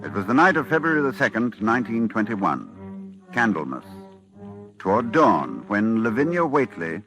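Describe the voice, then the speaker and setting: An anxious learned doctor warning listeners in a 1940s radio horror drama. His voice is fairly low, formal and solemn, with a faint musical bed beneath. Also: big pitch swings, moderate pace, music in the background, rough recording. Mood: ominous narration. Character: grave, anxious male scholar warning of cosmic horror. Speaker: narrator.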